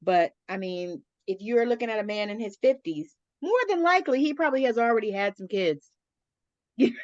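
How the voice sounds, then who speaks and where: A woman's voice: a little high, expressive, a little fast, darkish tone, no room sound, highs cut off. A middle-aged woman hosting a casual chat, blunt and colloquial, peppering her talk with "you know". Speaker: interview host.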